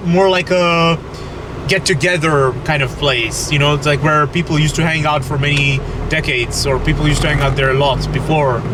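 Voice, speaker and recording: Young man, slightly high voice, chatty food vlog, car interior, dashboard camera, road noise behind. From Belgium. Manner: chatty, hesitant, many restarts, addresses viewers as guys. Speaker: food vlogger. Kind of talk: casual vlog chat to camera. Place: inside a car, driving. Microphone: camera mounted on dashboard.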